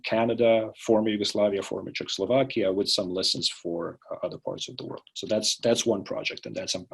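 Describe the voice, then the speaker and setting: A man from Croatia talks through his academic work in an interview. His voice sits low and slightly husky. He hesitates often, filling pauses and restarting sentences as he gathers his thoughts. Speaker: interviewee, political science academic. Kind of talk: unscripted interview answers.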